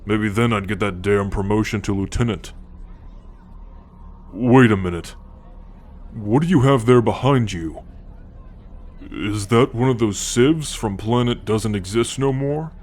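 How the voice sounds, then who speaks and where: A man's voice: on the low side, measured pace, long pauses, a little mellow. A man voicing an easygoing alien tank soldier in an ASMR roleplay, wry and flirtatious, always ribbing his friend. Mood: teasing.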